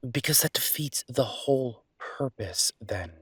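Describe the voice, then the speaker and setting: A man playing an earnest, down-to-earth chef boyfriend in an audio roleplay, talking casually and a little hesitantly in a husky voice. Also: medium pitch, lively intonation, measured pace, dry recording. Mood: hopeful, musing. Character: enthusiastic down-to-earth chef boyfriend opening a mountain restaurant. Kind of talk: audio roleplay acting, casual one-sided conversation.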